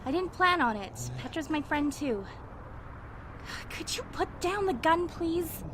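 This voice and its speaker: A woman's voice: very high voice, expressive, a little slow. A woman playing an earnest young survivor in an audio drama, pleading and firm as she keeps the peace. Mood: calm. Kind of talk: amateur audio drama acting. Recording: faint background noise.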